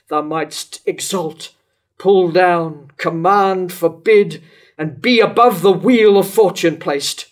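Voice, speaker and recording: An older man playing a jealous, wrathful, lovesick king in a staged reading of verse tragedy, declaiming with deliberate dramatic pauses. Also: a little high. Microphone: microphone on stand at lectern.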